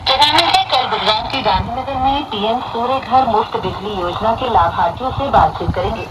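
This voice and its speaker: A woman's voice: a little high, brisk, lo-fi sound. Female newsreader over noisy shortwave radio. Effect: heard through a shortwave radio. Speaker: radio news reader. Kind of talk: formal radio news reading.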